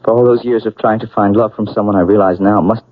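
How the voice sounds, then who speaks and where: An actor in a radio drama playing a bitter, uneasy younger brother who is a murderous accomplice, tense and questioning. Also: male voice, on the low side, fairly even intonation, quick pace, no room sound, narrow, tinny audio, natural voice. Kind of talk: 1970s radio drama acting. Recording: thin, band-limited old radio broadcast.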